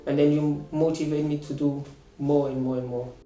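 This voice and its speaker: A man in his thirties, a course student, answering interview questions with many pauses and restarts. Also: on the high side, flat delivery, mellow, echoey room. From Portugal. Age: 30s. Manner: hesitant, many fillers and restarts.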